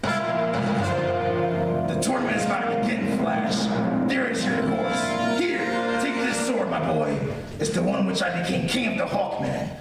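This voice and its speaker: Boisterous warrior king, wildly swooping gravelly voice. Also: wildly swooping pitch, measured pace, heavily acted. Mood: solemn, encouraging. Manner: booming, theatrical exclamations. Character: boisterous, hearty older male king of winged warriors. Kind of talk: old-time radio serial acting. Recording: distant, echoey hall stage recording with music.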